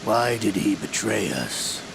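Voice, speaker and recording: An actor playing a sly older Russian villain in a video game cutscene, gravelly, drawling and theatrically menacing. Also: male voice, fairly low pitch, animated, takes it slowly, background noise, full character voice. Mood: cool, businesslike. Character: sly older male Russian villain, coolly scheming gunslinger agent.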